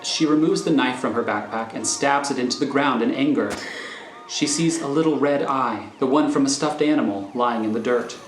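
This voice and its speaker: A man's voice: medium pitch, moderate pace, a little hiss or hum. Roomy-sounding man narrating a screenplay. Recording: roomy recording over faint music.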